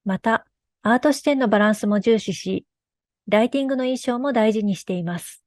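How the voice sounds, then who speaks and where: Woman, slightly high voice, scripted technical presentation, developer presenter, dry recording. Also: a little fast, no echo. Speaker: game studio developer presenting a workflow.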